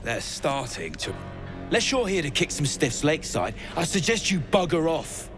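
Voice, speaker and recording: A man's voice: a little high, big pitch swings, normal speed, over music. A man voicing an irritable dwarf overseer in a video game, curt, coarse and dismissive. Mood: surly, annoyed. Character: surly, irritable male duergar digging overseer. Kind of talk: video game character acting.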